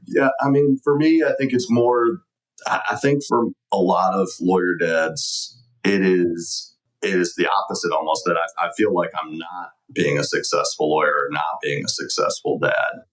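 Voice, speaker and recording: A man's voice: sits low, fairly even intonation, normal speed, poor audio. A middle-aged man answering conversationally as an interview guest, earnest and reflective. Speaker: podcast guest, lawyer.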